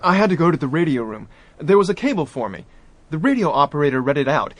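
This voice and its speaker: Brash excitable young diplomat, slightly raised pitch, animated. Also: male voice, fast. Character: young American man, brash diplomat nephew. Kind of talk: radio drama acting.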